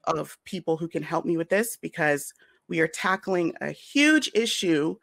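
Lowish, creaky-voiced woman speaking firmly. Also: measured pace, fairly bright tone, dry recording, studio quality. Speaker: podcast panelist. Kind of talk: earnest panel discussion. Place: room at home, on a video call.

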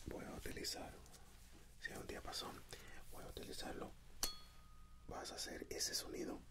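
Whispering man, ASMR doctor roleplay. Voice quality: soft breathy whisper. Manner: gentle, reassuring, hesitant step-by-step instructions. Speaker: ASMR performer playing a doctor. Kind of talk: ASMR medical exam roleplay. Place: indoors, dark backdrop. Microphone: close microphone.

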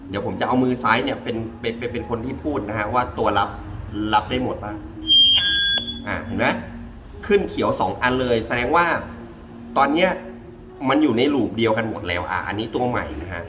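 A man walks through a gadget review casually. He talks informally, step by step, often repeating himself. There is clear ambient noise behind him. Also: lowish voice, fairly quick. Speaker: product reviewer. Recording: clearly noisy ambient background.

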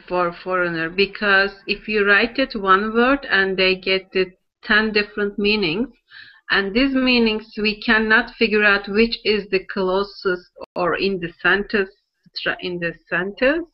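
A woman's voice: mid-range voice, fairly even intonation. Woman in a video class. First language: Turkish. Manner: careful, halting learner English. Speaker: student in an online class. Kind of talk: answering a teacher in learner English. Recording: dull lo-fi video call audio.

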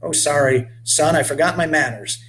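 A man's voice: on the high side, moderate pace, fairly bright tone, slight background noise. A man voicing a weathered old frontier boatman who speaks in folksy, old-fashioned phrases. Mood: friendly, firm. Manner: folksy old-fashioned speech, calls the boy lad and son. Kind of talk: voiced character in a read-aloud story.

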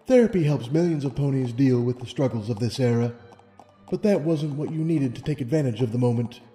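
Guy doing a regal lady unicorn princess, soft mellow tone, calm n gracious, big reverb, storybook. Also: mid-range voice, lightly acted. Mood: serene. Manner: measured, gracious, authoritative. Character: serene, regal, benevolent female winged unicorn princess. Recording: long hall reverb over music.

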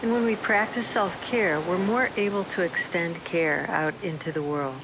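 A woman with a fairly low voice leads a guided meditation, speaking slowly and soothingly with gentle pauses. Faint outdoor nature ambience sits quietly behind her. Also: noisy background.

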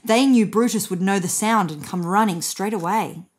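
A woman's voice: medium pitch, normal speed, breathy edge. A woman in her thirties reading a children's story aloud expressively as a narrator. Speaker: storybook reader. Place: indoors on a bed.

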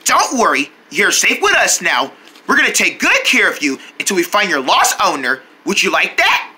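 Casting: sweet, excitable kindergarten cartoon character, bright and very animated, talks straight to little viewers. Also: female voice, lowish voice, moderate pace, exaggerated voice. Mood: caring, gentle. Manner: exclamatory, sing-song, talking to young viewers. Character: excitable, sweet young kindergartner, friendly kids' show character. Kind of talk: children's cartoon voice acting.

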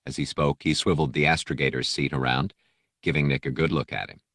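A man's voice: rumbling low, fairly even intonation, quick pace, fairly bright tone, no room sound, clean studio sound. A man reading an adventure novel as scripted audiobook narration.

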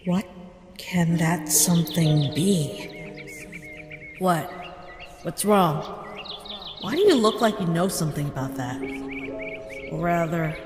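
A woman voicing a kind, concerned human child in a comic dub, gentle, earnest and thoughtful. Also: on the low side, wildly swooping pitch, slow, long pauses, music in the background, exaggerated voice. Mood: puzzled. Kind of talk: scripted comic dub acting.

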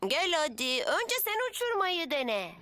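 A voice actor playing an eager, confident young boy motorbike, a helpful big brother in a children's cartoon dub, excitable and boastful. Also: sits high, unhurried. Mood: proud. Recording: loud music behind.

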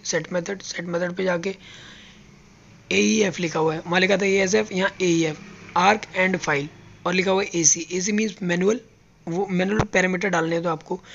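A man's voice: sits high, vocal fry, a bit bright, highs cut off. A man teaching robot welding step by step, explaining each button as he presses it on a factory floor. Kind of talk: hands-on tutorial.

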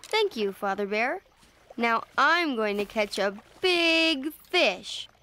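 High-voiced, childlike little bear cub. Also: female voice, sing-song, takes it slowly, pushed and bright, a little hiss or hum, exaggerated voice. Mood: excited. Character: cheerful young bear cub, eager and playful. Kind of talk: children's cartoon voice acting. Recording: music behind the voice.

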